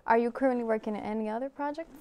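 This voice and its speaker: A woman's voice: a little high, very fast, dark tone. A woman interviewing an actor, asking short, direct questions.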